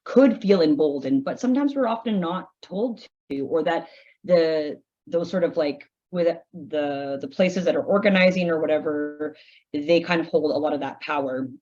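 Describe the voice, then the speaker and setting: Low-voiced woman, reflective. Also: a little mellow, lo-fi sound. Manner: reflective, halting with restarts. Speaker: panelist, artist. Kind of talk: casual panel discussion.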